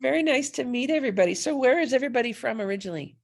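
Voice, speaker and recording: A woman's voice: high-pitched, animated, very fast, creaky. A woman chatting enthusiastically on a video call, effusive and welcoming. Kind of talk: casual video call chat.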